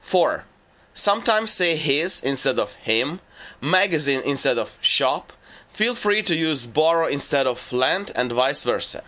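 A man giving scripted narration for a language lesson, laying out rules and lists in a measured, deliberate way. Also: fairly high pitch, big pitch swings, sharp tone. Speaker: language teacher.

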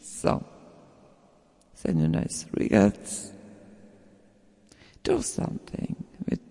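Slow halting man, non-native English, gravelly. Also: fairly low pitch, huge pitch swings, dark tone, long reverb tail. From Germany. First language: not English. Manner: halting, searching for words, slow with many fillers. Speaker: sound producer sharing samples. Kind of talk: casual video message.

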